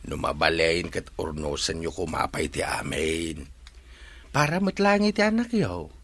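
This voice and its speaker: A man playing a kindly older father in a radio drama, giving gentle, measured, sighing counsel. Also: rumbling low, sing-song, creaky, heavily acted. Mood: concerned, supportive. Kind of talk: Philippine radio drama acting.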